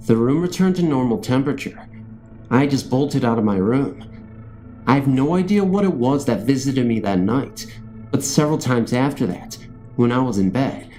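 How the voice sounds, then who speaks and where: Male narrator for bedtime ghost stories: mellow, warm timbre, measured scripted read, light music bed. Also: medium pitch. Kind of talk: scripted narration of scary first-person stories. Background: background music.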